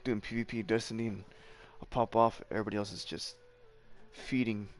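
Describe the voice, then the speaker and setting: A man's voice: on the low side, fairly even intonation, a little fast, soft background music. A man giving casual, slangy reaction commentary as he plays a game on stream.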